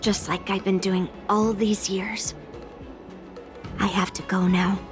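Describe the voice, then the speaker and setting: A woman's voice: medium pitch, level tone, slow, natural voice. An actress voicing a fierce, stubborn young swordswoman in a dubbed game cutscene, speaking bluntly and with determination. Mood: determined. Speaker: game character. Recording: game audio over loud music.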